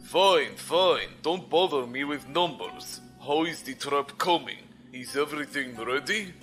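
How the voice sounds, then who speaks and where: An actor playing a stern, pompous commodore with a cutting, commanding manner in a science fiction audio drama. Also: male voice, a little high, animated, unhurried, fairly bright tone, soft background music. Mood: impatient. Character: stern, scornful male space military commodore.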